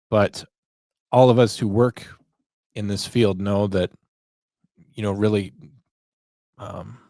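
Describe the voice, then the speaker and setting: Low-voiced man in reflective commentary. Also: a little mellow, no echo. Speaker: podcast host and 911 dispatcher.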